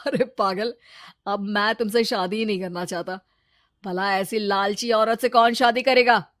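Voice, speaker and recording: A woman's voice: medium pitch, fairly even intonation, a little slow, a bit bright, no echo, studio quality, natural voice. Woman acting arrogant, fickle cartoon husband. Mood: dismissive. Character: arrogant, fickle husband and businessman, selfish and boastful. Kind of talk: Hindi cartoon story dialogue.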